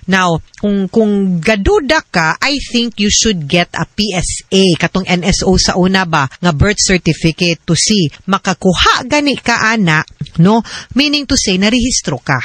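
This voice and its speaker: A woman's voice: medium pitch, fairly bright tone, dry recording. A Filipino woman with a Cebuano accent, hosting a conversational radio advice segment as a lawyer, explaining directly and slipping into English. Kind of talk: conversational radio advice, reading listener letters and answering. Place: radio production studio.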